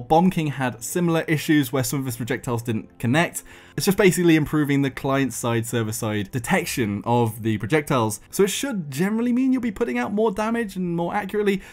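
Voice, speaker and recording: A man giving an informal, explanatory commentary as the host of a gaming video, conversational and stressing key points. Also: a little high. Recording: music behind the voice. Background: background music.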